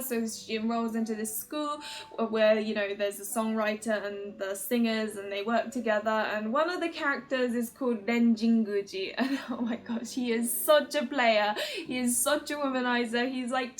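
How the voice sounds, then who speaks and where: A woman hosting her own video, chatting casually to viewers in a giggly, informal, rambling fangirl manner. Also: a little high. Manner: giggly, gushing fan talk, informal and rambling. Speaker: host of a video channel about otome games.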